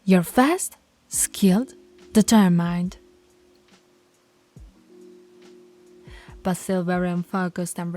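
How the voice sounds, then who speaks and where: A woman voicing a dominant, stern alpha werewolf pack leader in an ASMR roleplay, scolding and taunting in a commanding, deliberate way. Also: on the low side, heavily acted. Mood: taunting, domineering.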